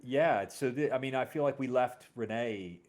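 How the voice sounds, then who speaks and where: A man's voice: mid-range voice, normal speed, a little mellow. A man hosting a casual interview, talking in a hesitant, rambling way and often correcting himself. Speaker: podcast host and audio drama series creator.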